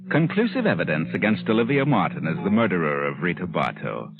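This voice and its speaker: An actor playing a smug, sinister, theatrical plotter with a husky voice in a 1940s radio drama. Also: male voice, deep voice, very animated, full character voice. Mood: smug, knowing. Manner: deliberate, theatrical, knowing. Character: smug, sinister male plotter guiding a murder story. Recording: muffled, tinny 1940s radio recording with music.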